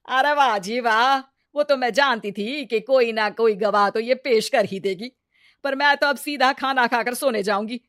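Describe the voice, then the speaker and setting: A woman playing a sharp-tongued, sarcastic Indian mother-in-law in a comedy story, taunting and complaining melodramatically. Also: fairly high pitch, moderate pace, no room sound, studio quality, lightly acted. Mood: sarcastic grumbling. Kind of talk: exaggerated comedy story acting.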